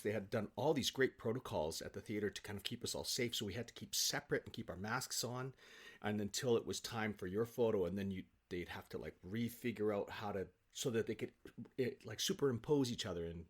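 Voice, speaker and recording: A man, probably middle-aged, telling anecdotes casually in an animated, self-deprecating, halting way as an interview guest. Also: on the low side, slightly rough. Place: home office, on a remote call.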